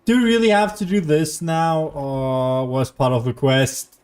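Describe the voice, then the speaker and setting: A woman's voice: low-pitched, fairly even intonation, unhurried, a little mellow. A woman giving casual, offhand gameplay commentary with a wry, impatient manner. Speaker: gaming streamer. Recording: faint game music behind.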